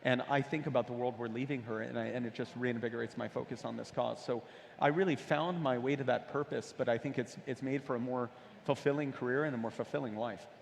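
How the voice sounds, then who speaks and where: A man's voice: medium pitch, steady tone, fast talker, vocal fry. A man from the United States speaking as a panel guest, telling his personal story earnestly and with emphasis. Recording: reverberant hall with background noise.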